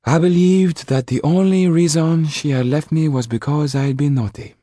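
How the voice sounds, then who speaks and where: A man's voice: on the high side, moderate pace, no room sound, studio quality, pitched above the performer's own voice, natural voice. Man doing a stern caring lady guardian. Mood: reflective. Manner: firm, then hushed and gentle. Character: stern caring middle-aged woman guardian.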